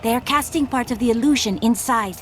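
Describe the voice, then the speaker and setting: An actress voicing a calm, wise young enchantress who speaks in a measured, formal way, in game audio with music behind. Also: female voice, on the high side, close to an everyday voice. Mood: composed. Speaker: companion enchantress.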